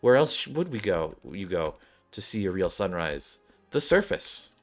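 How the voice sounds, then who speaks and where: A man with a fairly low voice streams a game over background music. He comments casually and reads the on-screen dialogue aloud, tripping over written words and reacting in asides. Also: very animated, moderate pace.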